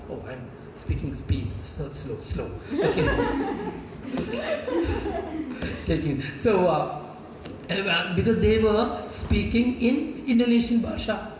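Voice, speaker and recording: A man's voice: high-pitched, background noise. A man from Kerala with an Indian English accent and Malayalam as his first language, giving a classroom lecture and quizzing students with repeated key words. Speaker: visiting professor. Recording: echoey room, mic far off.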